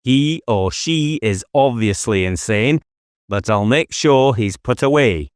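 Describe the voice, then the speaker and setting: A man's voice: mid-range voice, expressive, takes it slowly, clear, resonant tone, no room sound, clean studio sound, voice pushed up. A man voicing an intense, driven police officer in an audiobook, with an urgent, insistent manner. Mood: earnest, persuasive.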